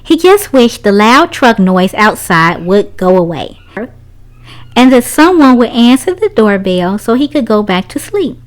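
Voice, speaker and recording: A woman's voice: on the high side, very animated, unhurried. A woman reading a children's story aloud, narrating expressively for young listeners.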